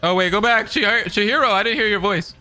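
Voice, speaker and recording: A man reading story narration aloud and breaking into casual, excitable reactions as a commentator. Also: sits high, fast talker, faint music behind. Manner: excitable, expressive.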